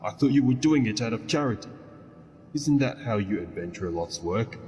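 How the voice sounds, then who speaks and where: A low-voiced male researcher played by an actor in a fantasy game. He sounds grudging and condescending, echoing in a big hall with faint background noise. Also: animated, fairly quick, darkish tone, natural voice. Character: grudging, dismissive male researcher paying adventurers. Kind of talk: fantasy video game character acting.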